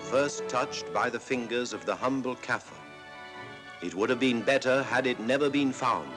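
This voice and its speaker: A man's voice: mid-range voice, normal speed, music in the background. A man giving dramatic, scripted story narration in a solemn, portentous 1940s manner.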